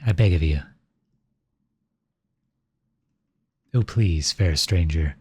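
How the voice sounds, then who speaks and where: A man playing a flirtatious, silver-tongued royal at a masquerade ball in an ASMR roleplay, speaking in courtly, formal, flattering phrases with a husky voice. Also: low voice, no echo, studio quality, exaggerated voice. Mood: pleading, contrite. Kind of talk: ASMR roleplay, scripted romantic monologue addressed to listener.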